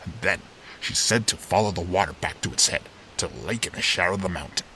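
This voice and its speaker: A man plays an older animal uncle in an animated drama, with a very low, forceful voice. He speaks deliberately and persuasively, like a weathered mentor who holds on to hope. A light hiss sits behind the voice. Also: low-quality recording. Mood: earnest, resolute. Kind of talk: dramatic fandub dialogue.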